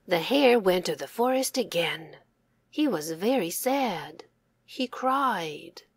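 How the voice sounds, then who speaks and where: Slow, expressive woman reading children's stories. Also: fairly low pitch, vocal fry, slightly rough, dry recording. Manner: careful, expressive enunciation. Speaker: storybook reader. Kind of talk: children's read-aloud narration for English learners.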